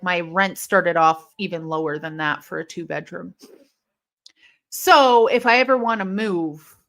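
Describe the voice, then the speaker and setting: A woman from Illinois hosting a live wrestling watch-along, chatting casually and rambling, with intense, sharp S sounds left from a lisp. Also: lowish voice, gravelly. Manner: chatty and rambling, heavy use of "like". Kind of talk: casual live-stream chat reading comments.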